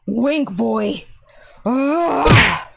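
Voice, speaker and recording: Someone playing a creaky, smug young villain. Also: medium pitch, expressive, very slow, heavy background noise, heavily acted. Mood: smug, irritated. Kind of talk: improvised video game roleplay.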